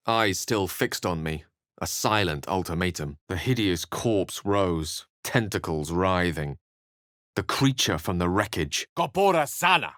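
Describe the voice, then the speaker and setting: A learned male wizard from a fantasy video game, speaks in a fairly low voice with very animated intonation. His phrasing is literary and theatrical, with a wry edge. The recording is dry and free of room sound. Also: dry recording, heavily acted. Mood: grave narration, then incantation. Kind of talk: fantasy game dialogue and narration.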